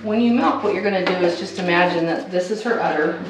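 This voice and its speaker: A woman's voice: lowish voice, fast talker. An older woman farmer patiently explaining how to milk a goat, step by step. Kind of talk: explaining and instructing. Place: goat milking barn. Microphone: camera mic at a distance. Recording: echoey barn, far from mic, loud noise.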